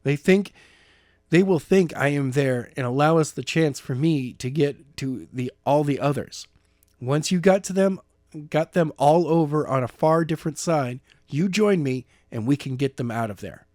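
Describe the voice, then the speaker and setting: A man's voice: on the high side, expressive, moderate pace, darkish tone, no room sound, clean studio sound, close to an everyday voice. A man reading a stubborn, defiant young man with powers in his own plain voice, pushing his point insistently. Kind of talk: amateur audiobook reading.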